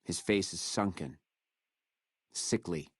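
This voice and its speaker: Deep-voiced, menacing captive officer. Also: male voice, husky, no echo, studio quality, full character voice. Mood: guarded, grave. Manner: contemptuous, measured, menacing delivery. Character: menacing, proud captured military officer of a ruthless legion. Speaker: video game voice actor. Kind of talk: video game character dialogue.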